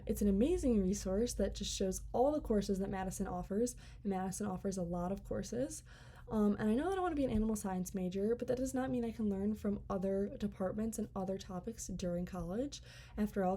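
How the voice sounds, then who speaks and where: A woman's voice: medium pitch, warm tone. A young woman, an incoming college student, reading out a class presentation in an earnest way with many "um" fillers. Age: young adult.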